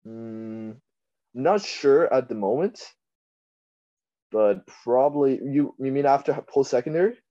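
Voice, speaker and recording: A young man from Taiwan, a podcast guest chatting casually, laid-back, laughing often and trailing off quietly. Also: mid-range voice, measured pace, long pauses, muffled recording. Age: young adult. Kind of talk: casual chat.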